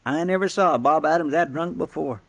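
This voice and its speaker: An actor playing a chatty, deferential frontier deputy with an in a 1950s radio western. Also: male voice, on the high side, brisk, creaky, dry recording, lo-fi sound. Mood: folksy, wry. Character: folksy, talkative, loyal frontier deputy.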